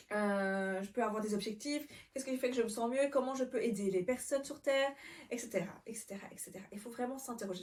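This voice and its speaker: A woman's voice: fairly high pitch, a little mellow, far from the mic. A Belgian-born woman in her twenties telling her personal story to camera in an earnest, reflective way, with frequent fillers and restarts. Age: 20s. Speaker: vlogger.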